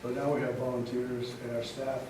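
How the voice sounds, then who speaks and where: A man making relaxed, conversational remarks during a public community meeting. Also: mid-range voice, brisk. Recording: echoey room, distant mic, background noise.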